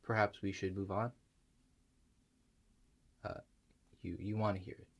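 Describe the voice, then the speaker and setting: A man playing an awkward, hesitant operator of a strange future-viewing machine in a soft-spoken ASMR roleplay, halting and understated. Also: on the low side, level tone. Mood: awkward, reluctant. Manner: halting, understated, mumbled asides with many pauses.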